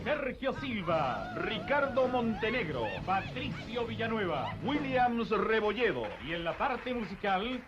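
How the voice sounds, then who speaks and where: A man playing a jolly comedy announcer on a vintage radio show, animated and proclamatory. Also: high voice, measured pace. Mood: jolly, proclaiming. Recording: dull old radio recording, loud music.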